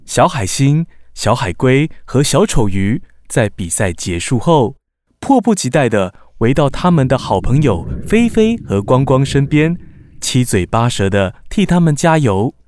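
Animated man narrating a children's story. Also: medium pitch, measured pace, no echo. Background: music.

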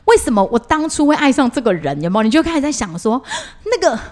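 A woman's voice: high-pitched, big pitch swings, quick pace, darkish tone. A woman with a Taiwanese Mandarin accent in a casual podcast chat, telling stories expressively as co-host.

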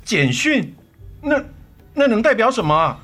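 Cheating husband, widely swinging pitch. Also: male voice, very animated, unhurried, a bit bright, exaggerated voice. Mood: defensive. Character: cheating husband and father, defensive, pleading, remorseful. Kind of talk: Mandarin radio drama acting. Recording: studio radio drama with music underneath.

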